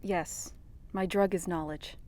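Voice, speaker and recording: A woman's voice: lowish voice, fairly even intonation, takes it slowly, darkish tone. An actress playing an eager, earnest young female reporter in an audio drama.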